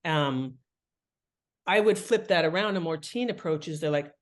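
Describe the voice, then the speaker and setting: A woman guest explaining her craft in conversational interview talk, with an animated manner. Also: deep voice, moderate pace. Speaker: guest, fiction writing author.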